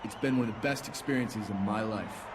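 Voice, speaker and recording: A man's voice: lowish voice, fairly quick, close to an everyday voice. A man playing a determined, defiant rookie champion wrestler in a video game cutscene. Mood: earnest resolve. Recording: clearly noisy background ambience.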